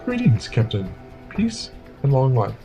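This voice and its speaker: A man's voice: mid-range voice, huge pitch swings, unhurried, gravelly, dark tone, soft background music, full character voice. A man playing a dignified senior Vulcan captain in an audio drama, speaking formally and stiffly. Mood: courteous. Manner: formal, stilted. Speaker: voice actor.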